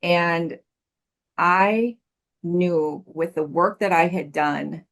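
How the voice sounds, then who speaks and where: Low gravelly woman in her sixties. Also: extremely slow. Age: 60s. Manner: earnest, thoughtful, repeats words while searching for them. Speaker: podcast guest, life coach. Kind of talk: reflective interview answers. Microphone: video call.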